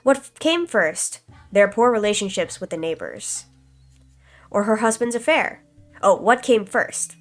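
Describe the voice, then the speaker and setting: Woman streamer, kinda stuffed up, reading a visual novel calm and even, faint music behind. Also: mid-range voice, measured pace. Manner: calm, even-paced narration with casual asides. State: stuffy nose, slight cough.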